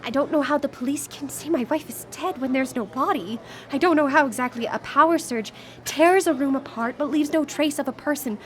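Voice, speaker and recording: An actress playing a grieving, determined widow in a live radio drama, speaking in a guarded, probing, naturalistic way. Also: female voice, high-pitched, fairly quick, fairly bright tone, slight background noise. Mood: insistent, troubled. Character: grieving, determined widow questioning her wife's disappearance.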